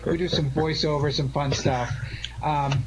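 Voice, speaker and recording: A man in his forties or older chatting casually and earnestly as a live radio host, halting and full of thanks, over an internet call. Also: on the high side, fairly even intonation. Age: 40s or older. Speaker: show host and director. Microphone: Skype-type connection. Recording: internet call with background noise.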